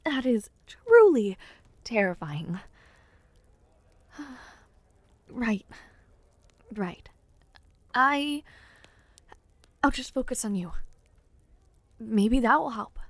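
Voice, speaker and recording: A woman acts out a young noblewoman in an intimate audio roleplay. She takes her time, with a soft, breathy delivery that often frays into creak. She sounds affectionate and hesitant, close and dry, as if speaking to one dear friend. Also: on the high side, sing-song, slow pace, pauses often, no echo, full character voice. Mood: flustered, anxious. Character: affectionate young noblewoman, playful but nervous before her debut. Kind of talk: intimate audio roleplay acting, addressing the listener.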